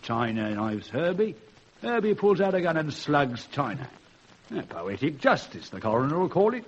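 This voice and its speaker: An actor playing a cold, ruthless, middle-aged British ex-convict and master thief in a vintage radio crime drama, commanding and scornful in an articulate way. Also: male voice, fairly high pitch, very animated, moderate pace. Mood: menacing, gloating. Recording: dull, noisy old radio recording.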